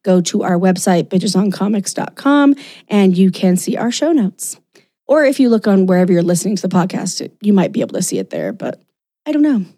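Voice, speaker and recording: A woman's voice: mid-range voice, big pitch swings, brisk, mellow. A woman hosting a comics podcast, playful and effusive, introducing her guest. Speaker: podcast co-host. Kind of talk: casual podcast chat.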